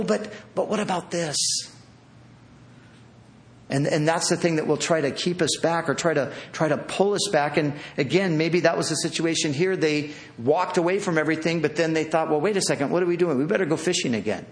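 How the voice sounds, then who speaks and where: A pastor preaching a sermon to a room of listeners, earnest and pleading, with repeated phrases and restarts. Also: male voice, a little high. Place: church hall with an audience.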